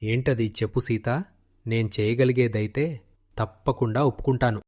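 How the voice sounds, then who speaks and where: A man's voice: medium pitch, steady tone, moderate pace, darkish tone, lightly acted. A man playing a principled village husband in a children's moral cartoon, reasoning earnestly. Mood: obliging.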